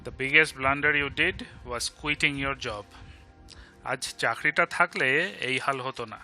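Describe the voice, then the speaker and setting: A man's voice: medium pitch, rapid-fire, bright, forceful tone, soft background music. A man with an Indian Bengali accent playing a supportive, joking old bachelor friend in an audio drama, switching between English and Bengali. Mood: supportive, frank. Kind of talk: bilingual audio drama acting.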